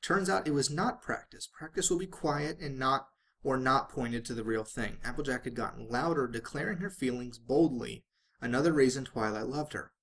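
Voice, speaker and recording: Guy reading a fanfic out loud, midrange voice, dramatic narration, trips on lines and redoes them. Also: mid-range voice, moderate pace. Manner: stumbles and rereads phrases. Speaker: fan fiction reader.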